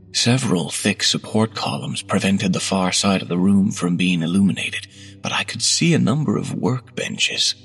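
Slow, gravelly male horror narrator. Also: on the low side. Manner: measured, hushed, dropping to whispers.

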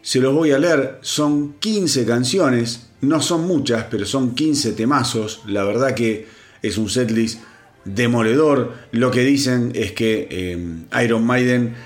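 A man hosting a rock news podcast, congested and hoarse, talking casually with lots of fillers and restarts. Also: mid-range voice, takes it slowly, soft background music. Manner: chatty, with many fillers and restarts. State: congested, sore throat. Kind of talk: solo news commentary.

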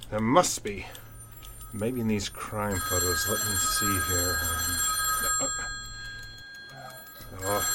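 An actor playing a gruff, frustrated police commissioner in an old-time radio drama, with an emphatic, commanding manner. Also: male voice, on the low side, a bit bright, slight background noise. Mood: preoccupied. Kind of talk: 1940s radio drama acting.

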